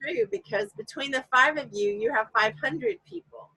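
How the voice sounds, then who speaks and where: A woman's voice: sits high, animated, normal speed, pushed and bright, breathy edge, no echo, low-quality recording. A woman guest explaining her ideas emphatically in a casual interview, with examples. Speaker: guest course creator.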